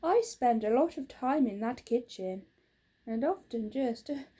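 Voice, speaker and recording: Lady doing a fretful complaining storybook wife, high plaintive voice, kinda husky, really leans on her words. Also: lively intonation, unhurried, dark tone. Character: fretful, complaining housewife, prone to tearful wailing.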